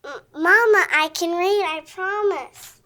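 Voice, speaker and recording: A performer playing a pious, earnest little girl of about seven in an audio drama, speaking in a deliberate, childlike way. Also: very high-pitched, sharp tone, heavily acted. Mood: excited, pleading.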